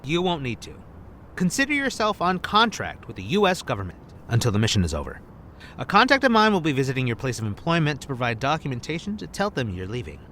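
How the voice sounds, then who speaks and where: A man's voice: mid-range voice, huge pitch swings, fast, fairly bright tone, slight background noise. Man acting a cocky, swooping secret agent. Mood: businesslike. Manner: teasing, cocky. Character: smug, teasing male secret agent recruiting old partner. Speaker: voice actor. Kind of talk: anime-style audio drama acting.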